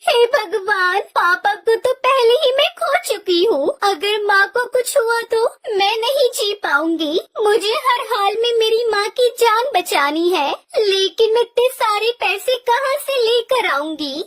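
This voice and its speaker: A devoted teenage girl sparrow, voiced by a woman in a cartoon story, with a very high voice. She pleads in a tearful way. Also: lively intonation, measured pace, hardly any pauses, a bit bright, exaggerated voice. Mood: desperate. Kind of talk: Hindi cartoon voice acting.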